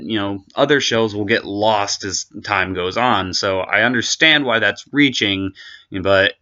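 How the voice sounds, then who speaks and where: A man's voice: lowish voice, sharp tone, highs cut off. A man hosting an anime commentary video, giving his opinion in a rambling, casual way full of restarts and asides.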